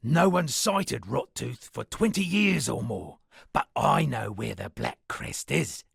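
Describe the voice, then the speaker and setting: A gravelly, theatrical poet. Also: male voice, mid-range voice, animated, slow, no echo, clean studio sound, exaggerated voice. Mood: ominous storytelling. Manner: florid, grandiloquent, dramatic. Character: theatrical, self-satisfied male town poet and storyteller. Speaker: video game character voice actor. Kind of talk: theatrical game dialogue with declaimed verse.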